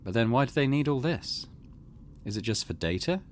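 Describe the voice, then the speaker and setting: Casting: male gaming commentator, casual and musing, animated pitch movement, faint noise in the recording. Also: moderate pace. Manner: musing, conversational. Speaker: let's play host. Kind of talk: casual game commentary, reading text aloud.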